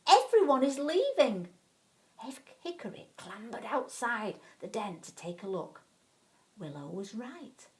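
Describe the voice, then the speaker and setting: Female storyteller for children's bedtime tales, mid-pitched, expressive and dramatic with clear emphasis. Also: measured pace.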